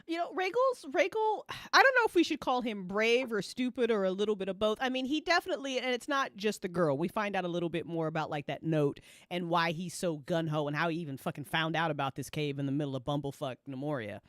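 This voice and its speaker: A woman hosting a casual fan chat about a story, talking in an animated, slangy, freely swearing way and often breaking into laughter. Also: on the low side, quick pace, hardly any pauses, dry recording, studio quality. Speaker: host of an audio fiction after-show.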